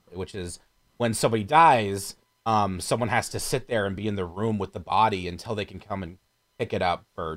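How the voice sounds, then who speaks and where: A man chatting casually and enthusiastically about films as a podcast co-host, with slangy, profane phrasing. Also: low voice.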